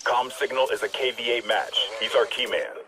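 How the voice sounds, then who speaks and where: Casting: male actor as businesslike mission operator, military game, medium pitch, clipped callouts under loud music. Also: fairly even intonation, moderate pace, natural voice. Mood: focused. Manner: clipped, procedural. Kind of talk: military shooter game radio dialogue.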